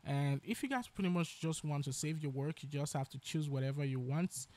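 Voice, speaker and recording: A man hosting a software tutorial, explaining each step as he narrates. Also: on the high side, fairly quick. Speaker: tutorial host.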